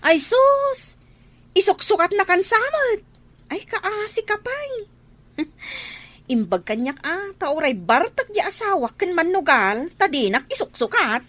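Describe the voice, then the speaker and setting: Radio drama: nosy, brash village busybody, Ilocano accent, high animated voice, taunting delivery. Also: female voice, a little slow, dry recording, exaggerated voice. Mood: mocking. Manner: taunting, exclamatory. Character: Petra, brash, nosy gossiping village neighbour woman.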